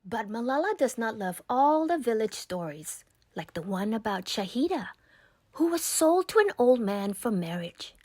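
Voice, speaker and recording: A woman narrating a children's picture book aloud in a measured, expressive reading style. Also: on the high side, no echo. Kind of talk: scripted storybook narration.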